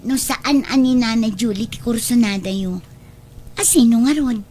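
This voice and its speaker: A woman's voice: on the high side, normal speed, dark tone, slight background noise, close to an everyday voice. A woman acting a young adult daughter in a radio drama, anxious and nosy, with a questioning, pleading manner. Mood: probing, pleading. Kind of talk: amateur-style radio drama acting in Ilocano.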